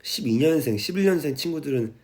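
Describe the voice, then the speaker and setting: A young man in his twenties chatting casually and softly on a late-night livestream from home, a little tipsy and drowsy as he reads viewer comments. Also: a little high, fast. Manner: relaxed, drowsy and soft-spoken, reading viewer comments. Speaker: idol livestreamer. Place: at home, indoors. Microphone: phone livestream.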